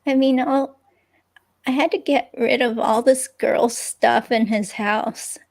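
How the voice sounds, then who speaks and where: A woman plays a hurt ex-girlfriend answering police questions in a dramatic reading. Her voice sits a little high and creaks often. She talks slowly, rambling and hesitating as she goes. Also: steady tone, a little mellow, heavily acted. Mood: wistful, sheepish. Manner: hesitant, rambling, filler-laden. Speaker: reader in a dramatic reading of an interview transcript. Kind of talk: conversational dramatic reading of a transcript.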